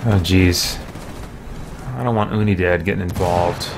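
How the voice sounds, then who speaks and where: A man's voice: low-pitched, fairly even intonation, measured pace, noisy background. A man streaming a video game, giving casual, easygoing commentary and muttering mild exclamations to himself. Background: video game sound effects.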